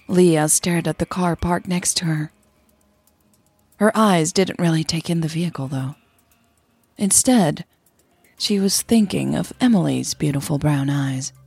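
A woman narrates like a podcast storyteller, in a lowish, slow-paced voice. Her delivery is measured and breathy, with a gravelly creak at the edges. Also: darkish tone, no room sound. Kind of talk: scripted narration of audio fiction.

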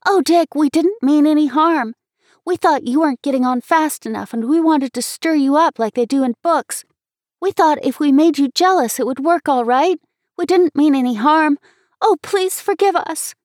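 A woman voicing an earnest, excitable little girl with an eager, emphatic manner in a storybook reading. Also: a little high, fairly quick, few pauses, no room sound, clean studio sound, close to an everyday voice. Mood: distressed pleading.

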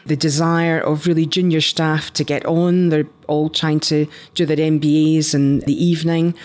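A woman in her fifties with a Scottish accent, telling her career story conversationally as an interview guest. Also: sits low. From Scotland. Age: 50s. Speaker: interview guest, business founder.

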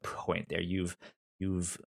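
A man hosting a casual podcast, talking in a halting way and often repeating words as he starts sentences. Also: low-pitched, monotone, vocal fry. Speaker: podcast host steering the segments. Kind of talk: casual chat.

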